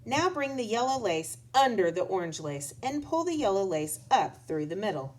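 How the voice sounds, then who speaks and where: An encouraging little schoolgirl from a storybook , put on by a woman reading aloud. She sounds patient and instructive, with bouncy pitch and faint background noise. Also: big pitch swings, moderate pace, close to an everyday voice. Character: helpful, patient young schoolgirl teaching a friend.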